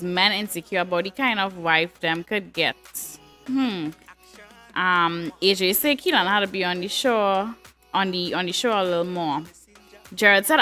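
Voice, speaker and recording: A woman's voice: medium pitch, sharp tone. A woman hosting a live talk show, reading out viewer comments and reacting to them in a chatty way.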